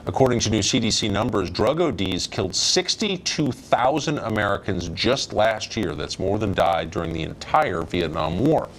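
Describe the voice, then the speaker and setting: Man reading the news, deep voice swinging up and down, little hiss behind. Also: lively intonation, moderate pace, few pauses, fairly bright tone. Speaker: news host. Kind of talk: scripted news anchoring.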